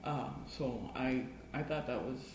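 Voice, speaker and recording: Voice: mid-range voice, a little slow, slight background noise. Reflective speaker recalling school days, Spanish native. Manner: reflective, thoughtful recollection with small restarts. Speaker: interviewee. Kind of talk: oral history interview. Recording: echoey room.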